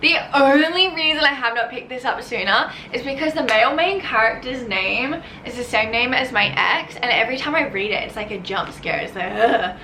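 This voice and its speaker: A woman's voice: fairly high pitch, sharp tone, echoey room, a little hiss or hum. An Australian woman talking casually to camera as a book vlogger, bubbly and gushing.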